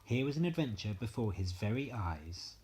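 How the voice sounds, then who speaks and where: A man narrating a bedtime story as an expressive storyteller. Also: lowish voice, moderate pace.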